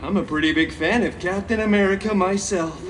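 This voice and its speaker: A man's voice: high-pitched, brisk, heavy background noise, lightly acted. A man playing a cheeky, chatty masked antihero who tells stories theatrically with sarcastic asides at a live show, heard through a distant PA. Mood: showy, excited. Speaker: theme park show performer. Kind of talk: live comedic storytelling show for a crowd. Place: live outdoor theme park stage. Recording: distant PA sound with loud music. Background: loud music.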